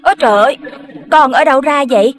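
A woman's voice: high voice, quick pace, soft background music, natural voice. A woman voicing a flustered cartoon animal in a children's show, exclaiming and scolding. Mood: startled. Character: flustered, excitable cartoon animal mother or friend.

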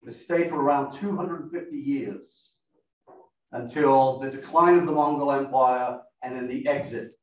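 A man with a non-American accent gives a measured, explanatory talk, often pausing on fillers. His voice sits in the middle range and sounds distant from the mic. The room is very echoey and the sound is dull and lo-fi. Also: medium pitch. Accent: non-American English. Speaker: guest speaker, professor. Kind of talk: lecture talk with slides. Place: meeting room.

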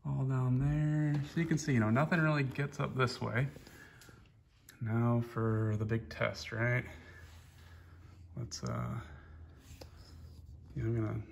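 A man casually showing a chainsaw filter in his garage workshop, talking in a relaxed, rambling way with lots of fillers, recorded on a phone held close. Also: mid-range voice, takes it slowly, creaky, pauses often, a little hiss or hum. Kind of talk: casual hands-on show-and-tell. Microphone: phone camera held close.

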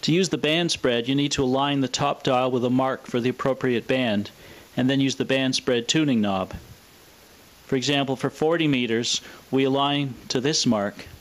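A man presenting a scripted, explanatory product demonstration in a measured, matter-of-fact way. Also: mid-range voice, fairly even intonation. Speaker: presenter of a vintage radio showcase video.

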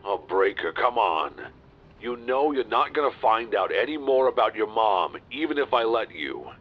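An actor playing a wary, exasperated gangster heard over speakerphone in an audio roleplay, weary and irritated. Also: male voice, lowish voice, sing-song, normal speed. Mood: pleading, exasperated. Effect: speakerphone filter. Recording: muffled, husky speakerphone sound.

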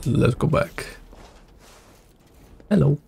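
A man's voice: very slow, a little mellow. A young man who is a bit sick, making laid-back, casual gameplay commentary in muttered asides. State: sick with a cold. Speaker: streamer reacting to a game. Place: small indoor room. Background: game music.

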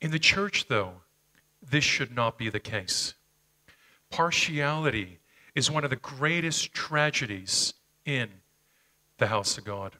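A man's voice: medium pitch, lively intonation, takes it slowly, pauses often, bright, forceful tone, low-quality recording. A middle-aged man with a Canadian accent, whose first language was Slovenian, preaching a sermon on a church stage into a headset mic, telling personal stories earnestly with frequent hesitations. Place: church stage, medium-sized hall.

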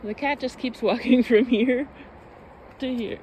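A woman's voice: on the high side, fairly even intonation, measured pace, mellow, a little hiss or hum. A young woman casually vlogging at a forest campsite, thinking aloud with lots of fillers as she films on a phone. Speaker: car-living vlogger. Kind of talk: casual vlog chat while camping. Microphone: handheld phone camera at arm's length.

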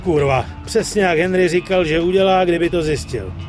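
An actor playing a brash, hot-headed, vengeful gangster in a dubbed game, crude and swaggering. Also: male voice, a little high, faint music behind, close to an everyday voice. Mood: worried. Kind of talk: dramatic game dub acting.

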